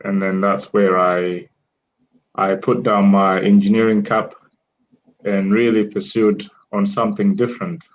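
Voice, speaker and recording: Man, 30s, from Ethiopia, low voice, slow and hesitant, muffled video call audio. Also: flat delivery. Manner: measured, hesitant with fillers. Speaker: guest entrepreneur. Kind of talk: online interview.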